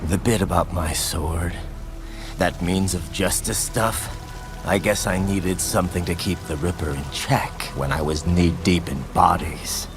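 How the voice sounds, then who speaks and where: A grim, bloodthirsty cyborg swordsman speaks low and gravelly, slow and brooding, with wide swings up to savage outbursts. Loud game music runs beneath him. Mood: dark, confessional. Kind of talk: video game cutscene acting.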